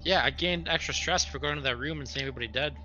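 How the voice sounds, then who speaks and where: A man's voice: a little high, rapid-fire, bright, forceful tone, lo-fi sound, faint music behind. A man chatting casually as a player in a tabletop roleplaying game.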